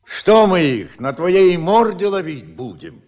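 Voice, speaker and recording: A man's voice: high-pitched, very animated, takes it slowly, a little mellow, exaggerated voice. An actor playing a stubborn, plain-spoken village fishing foreman in a radio play, with blunt, folksy rustic speech. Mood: defiant, reproachful. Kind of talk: Soviet radio play acting.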